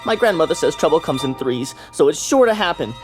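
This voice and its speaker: A woman's voice: low-pitched, expressive, a little fast, over music. A woman voicing an anxious, whiny middle-school kid sidekick in an audio drama. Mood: panicky, whiny. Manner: fretful, complaining.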